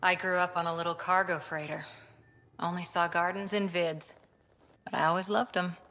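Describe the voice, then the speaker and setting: Low-voiced, earnest ex-commando woman. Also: steady tone, moderate pace, bright, forceful tone. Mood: wistful, hurt. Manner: frank, reflective, clipped phrases. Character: earnest, wounded former commando, longing to belong. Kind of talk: video game dialogue acting. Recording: long reverberant hall sound.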